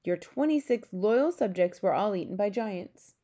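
A woman voicing a regal, composed queen who gives brisk, polite commands. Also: mid-range voice, lively intonation, dark tone, close to an everyday voice. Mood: commanding.

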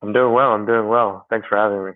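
Low flat-voiced young man on a tinny line. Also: no echo. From Rwanda and Canada. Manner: thoughtful, many "um" pauses. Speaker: guest, energy company manager. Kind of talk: casual interview talk. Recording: dry, phone-like line.

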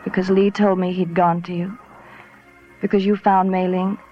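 An actress playing a sultry, teasing femme fatale professor in 1940s radio noir, languid and insinuating. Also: female voice, on the low side, flat delivery, normal speed, close to an everyday voice. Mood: seductive, needling. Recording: muffled old radio recording with music.

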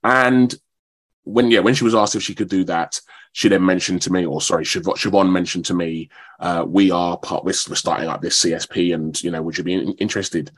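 Very low-pitched man, conversational. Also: fairly even intonation, brisk. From London, England. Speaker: actor, radio show guest. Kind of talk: conversational radio interview.

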